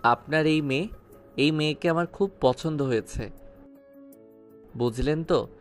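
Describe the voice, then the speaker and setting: A man's voice: on the high side, unhurried, lightly acted. A man playing a ruthless gangster moneylender in an audio story, cold and taunting with a hard edge. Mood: taunting, menacing. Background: background music.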